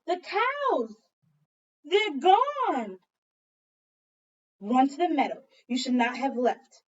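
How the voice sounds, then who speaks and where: Woman, teacher, dramatic storytelling for children, fairly high voice, questioning delivery, recorded away from the mic. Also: expressive, takes it slowly. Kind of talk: expressive children's read-aloud and lesson. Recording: dull lo-fi sound, distant from mic.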